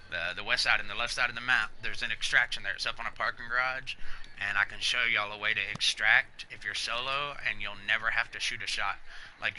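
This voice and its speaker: Bright-voiced man in his thirties streaming. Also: mid-range voice, slight background noise, low-quality recording. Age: 30s. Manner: loose, chatty, swears freely and laughs at himself. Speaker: game streamer. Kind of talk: casual live gameplay commentary.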